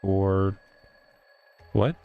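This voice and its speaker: A man's voice: sits low, steady tone, drawn-out words, gravelly, clear, resonant tone, narrow, tinny audio. A man giving casual, off-the-cuff commentary as he plays through a game. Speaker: gaming playthrough host. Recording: music behind the voice.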